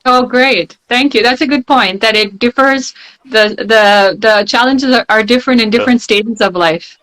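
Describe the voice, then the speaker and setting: Female moderator, a bit high, warm encouraging chat with fillers. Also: moderate pace, poor audio. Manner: encouraging, filler-heavy, warm praise of guests. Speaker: panel host. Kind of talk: moderating an online panel.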